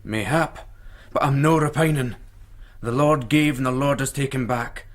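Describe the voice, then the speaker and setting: An actor playing a dour, stoic, newly widowed Scottish countryman in a radio drama, gruff and plain-spoken. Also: medium pitch, normal speed, soft background music, natural voice. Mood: dour, subdued.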